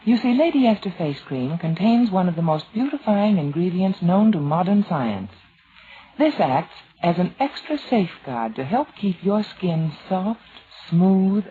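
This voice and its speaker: Female radio spokeswoman, somewhat low lively voice, deliberate sales read, tinny, faintly noisy vintage recording. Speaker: commercial spokeswoman. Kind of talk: 1940s radio advertising read.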